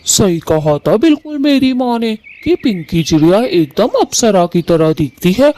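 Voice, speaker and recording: A man voicing Kalu, a harsh, bossy male bird husband in a cartoon who scolds loudly. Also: high voice, lively intonation, a little slow. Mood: pleased. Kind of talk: Hindi cartoon voice acting.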